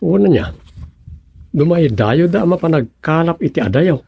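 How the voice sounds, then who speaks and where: An actor playing an eager fisherman's son with a husky voice and an Ilocano accent in a radio drama comedy. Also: on the high side, sing-song, normal speed, dark tone, dry recording, clean studio sound, heavily acted. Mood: curious, willing.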